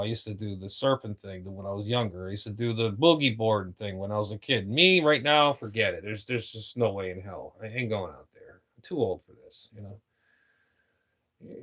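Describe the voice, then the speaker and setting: A man's voice: mid-range voice, a bit bright. A middle-aged man chatting casually on a live stream from his home radio room, rambling and complaining and often repeating himself.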